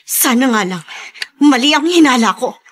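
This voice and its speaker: An actress playing an anguished, suspicious young wife in a melodramatic Filipino radio drama, with a tearful, emphatic manner. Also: female voice, sits high, lively intonation, moderate pace. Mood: worried, upset.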